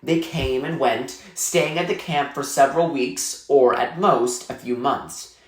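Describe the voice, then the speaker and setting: A man's voice: mid-range voice, unhurried. Man reading a story aloud, echoey room. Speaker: reader reading a novel aloud. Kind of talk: scripted narration, read-aloud storytelling.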